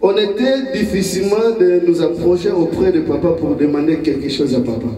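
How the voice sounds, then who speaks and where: Um homem pregando em francês numa igreja, com pausas para a tradução. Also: male voice, high voice, distant mic. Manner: pauses for translation, lively. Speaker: church speaker. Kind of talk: sermon in French with interpreter. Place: church gathering. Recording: echoey hall recorded from afar. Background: congregation.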